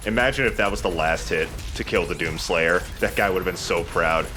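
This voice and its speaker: A man's voice: low-pitched, a little fast, pushed and bright, soft background music. A man hosting a let's play with casual, joking, irreverent game commentary over game sound. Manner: irreverent, profane.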